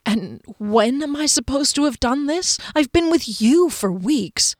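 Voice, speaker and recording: A woman's voice: high-pitched, animated, unhurried, darkish tone, dry recording, voice pushed up. Woman reading as a desperate young swordswoman, breathless, pleading, strained, dry close audio. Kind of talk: audiobook character voice.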